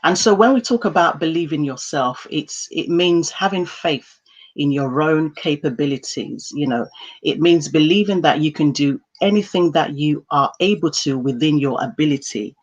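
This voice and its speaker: A woman's voice: deep voice, normal speed, highs cut off. A woman hosting a live interview podcast over a video call, talking in an upbeat way with many fillers. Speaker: podcast host. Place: indoor room.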